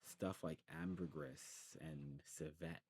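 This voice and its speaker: A man with a very deep voice plays a friendly demon shopkeeper in an ASMR roleplay. He talks informally and knowledgeably, rambling and correcting himself as he recommends goods. Noticeable ambient noise sits behind his voice. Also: huge pitch swings, slow pace, creaky, a little mellow. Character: friendly demon snuff shop keeper, knowledgeable and enthusiastic. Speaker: ASMR performer playing a snuff shopkeeper. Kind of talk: ASMR shop roleplay, casual recommending chat.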